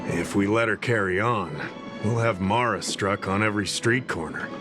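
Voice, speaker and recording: Composed, low-voiced male official played by an actor. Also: moderate pace, over music, lightly acted. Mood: cautioning. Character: composed male Realm Keeping official briefing an ally. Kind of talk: video game voice acting.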